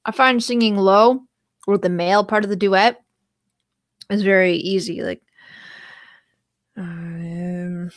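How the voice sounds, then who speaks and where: A woman's voice: medium pitch, fairly even intonation, takes it slowly, long pauses, no echo, clean studio sound. A young woman streaming casually, rambling about singing and breaking into short song snippets. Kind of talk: casual livestream chat with singing.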